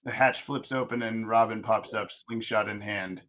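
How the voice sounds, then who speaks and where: A man's voice: fairly low pitch, steady tone, moderate pace, fairly bright tone. Man narrating a cartoon script table read. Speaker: narrator reading stage directions.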